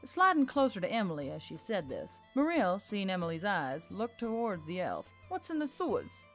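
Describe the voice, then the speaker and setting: A woman's voice: fairly low pitch, very animated, moderate pace. A woman reading scripted fantasy fiction as an audiobook narrator. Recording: music bed under the voice.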